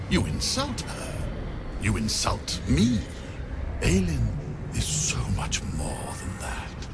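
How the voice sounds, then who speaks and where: A smug, menacing video game necromancer. His delivery is slow, vain and condescending, sweeping dramatically up and down in pitch. Music plays behind him. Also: vocal fry, exaggerated voice. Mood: boastful. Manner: theatrical, drawn-out, condescending. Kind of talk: video game villain acting. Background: game soundtrack music.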